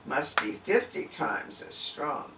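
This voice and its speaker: A man's voice: a little high, big pitch swings, takes it slowly, gravelly, full character voice. A man voicing an anxious, weary village wife in a folk tale, with emphatic exclamations. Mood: pleading. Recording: distant, echoey room with faint noise.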